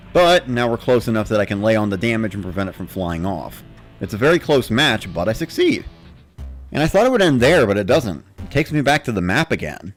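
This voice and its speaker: A man narrating in the first person with an expressive, dramatic delivery. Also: on the low side. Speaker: narrator of a dramatic reading series.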